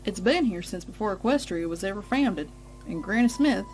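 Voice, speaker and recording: A woman voicing a steady, reassuring big sister farm pony in a story. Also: mid-range voice, moderate pace, faint music behind, close to an everyday voice, low-quality recording. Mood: solemn.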